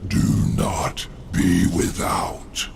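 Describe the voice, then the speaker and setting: An actor playing a proud, scheming demon lord in a video game, with a gravelly growl and a slow, formal, condescending way of talking. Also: male voice, sits low, completely flat delivery, a little hiss or hum, full character voice. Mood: ominous, condescending. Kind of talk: video game cutscene acting.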